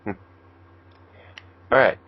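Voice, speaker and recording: A man's voice: slow, dull audio. A man hosting and narrating an amateur fan fiction reading informally, with casual asides and stumbles. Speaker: narrator and host.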